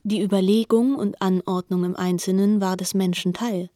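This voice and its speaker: A woman's voice: mid-range voice, steady tone, normal speed, dark tone, no echo, clean studio sound. A woman reading scripted literary narration in a radio drama.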